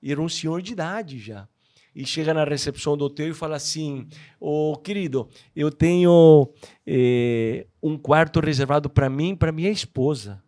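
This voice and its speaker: Higher-voiced Argentine man telling stories. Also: moderate pace, darkish tone. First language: Spanish. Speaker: podcast guest. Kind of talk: personal testimony storytelling.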